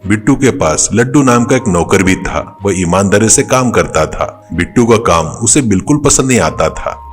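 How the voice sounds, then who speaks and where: A man's voice: fairly low pitch, animated, moderate pace, faint music behind. A man narrating a scripted moral story.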